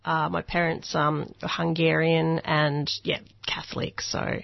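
A woman's voice: low voice, little pitch movement, a little slow, gravelly, dry recording, dull audio. A woman in her forties with an Australian accent, a musician guest talking casually and hesitantly, with many ums and likes. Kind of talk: casual interview.

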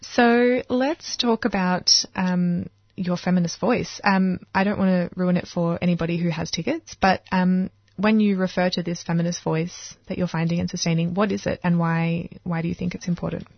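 Woman, breakfast radio host, lowish voice, close dry sound, eager interviewer. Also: steady tone, normal speed, gravelly, hardly any pauses, no room sound, dull audio. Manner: enthusiastic, many small affirmations.

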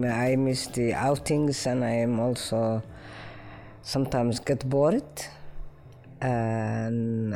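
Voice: medium pitch, mellow, slight background noise. An administration officer speaking hesitantly in a recorded voice note. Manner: hesitant, halting.

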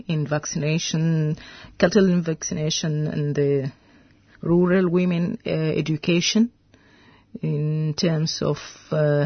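Low, slow-spoken Sudanese woman. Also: dry recording, lo-fi sound. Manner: halting, searching for words. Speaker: interview guest, veterinarian. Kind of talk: radio interview.

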